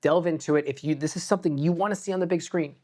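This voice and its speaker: A woman's voice: deep voice, very fast, warm tone. A woman co-hosting a panel chat, talking in a rambling way full of filler words. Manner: rambling, lots of "like". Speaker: co-host.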